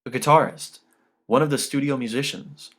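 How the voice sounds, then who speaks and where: Man voicing a loud, nervous young-sounding guitarist. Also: medium pitch, normal speed, voice pushed up, lightly acted. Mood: insistent, polite. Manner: loud, urgent, cautioning. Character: nervous male guitarist, polite but insistent, talking loudly. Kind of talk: audiobook character dialogue.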